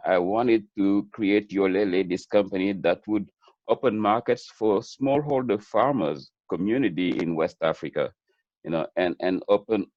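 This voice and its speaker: Male webinar guest, middle-aged, Senegalese accent, lowish pitch, earnest and reflective, dry close sound. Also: a little mellow, no echo, dull audio. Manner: earnest, reflective, repeats words as he builds points. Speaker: webinar guest, chef and food entrepreneur. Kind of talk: conversational interview answer.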